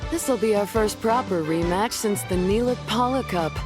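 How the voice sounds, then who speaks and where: Young female rival played by a woman: sporting, self-assured, mid-range game voice with clear music underneath. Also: mid-range voice, moderate pace, close to an everyday voice. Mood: confident, friendly. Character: friendly, confident young female rival competitor. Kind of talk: video game voice acting. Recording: music behind voice.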